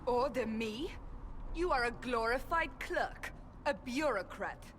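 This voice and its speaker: A woman's voice: on the high side, expressive, slow pace, a bit bright. Stern blunt fighter lady, game drama, barking orders, noisy background. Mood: indignant. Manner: commanding, blunt, clipped. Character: stern, forceful woman warrior and holy seeker. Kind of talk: dramatic video game acting.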